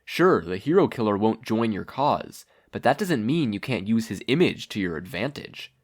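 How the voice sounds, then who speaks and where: A scheming older man, the villain's mentor in a story, as a reader plays him. He talks down to his pupil in a measured, patronising way. His pitch rises and falls freely. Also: sing-song. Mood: coaxing, smug.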